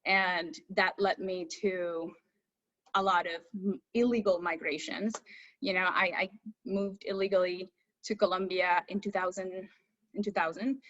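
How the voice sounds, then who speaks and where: A woman's voice: on the low side, fairly bright tone, highs cut off. A woman from Cuba, a native Spanish speaker, answering as an interview guest in a candid, conversational way. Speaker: astrophysicist guest.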